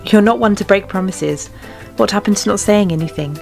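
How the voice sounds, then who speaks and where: A woman's voice: medium pitch, brisk, vocal fry, darkish tone, lightly acted. A woman playing a proud young exiled warrior queen in a game fan dub, firm and formal. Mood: composed. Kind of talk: fan dub voice acting of a fantasy story game. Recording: music behind the voice.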